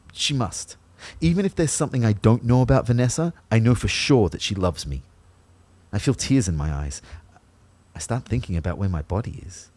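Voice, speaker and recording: A man's voice: medium pitch, a little mellow, breathy edge, no echo. A man playing an anxious, lovelorn dead young man, narrating his limbo introspectively in the first person for an audio drama. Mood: anxious, wistful. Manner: introspective, intent.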